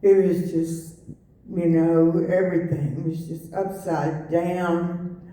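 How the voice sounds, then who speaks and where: A middle-aged woman giving a personal testimony, halting with many fillers and restarts. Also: on the low side, fairly even intonation, mellow. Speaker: testimony speaker. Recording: echoey room, voice from far off.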